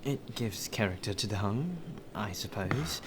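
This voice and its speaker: A man's voice: lowish voice, expressive, measured pace. A man playing a polite, deferential butler in an ASMR roleplay, with formal, flowery phrasing. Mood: admiring. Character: courteous, well-spoken male butler, deferential and appreciative. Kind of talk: ASMR roleplay acting, addressed to the listener. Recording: rain ambience and clear background noise.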